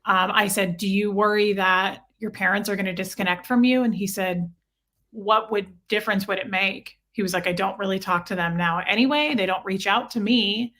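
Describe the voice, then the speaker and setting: A woman's voice: mid-range voice, fairly even intonation, moderate pace, fairly bright tone. A woman speaking as an interview guest, telling personal stories casually and earnestly, often acting out both sides of conversations.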